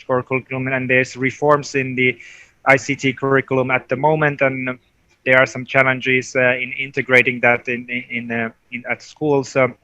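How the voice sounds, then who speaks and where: A man's voice: medium pitch, level tone, normal speed, bright, forceful tone, no room sound, dull audio. A man from Finland speaking on a conference panel as a technical advisor, hesitant with many fillers and restarts.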